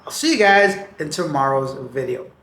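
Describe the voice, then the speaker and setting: A man hosting a casual news show with a slightly raspy voice, reading stories and adding slangy, chatty asides. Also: on the high side, lively intonation, moderate pace, sharp tone, roomy sound. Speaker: news channel host.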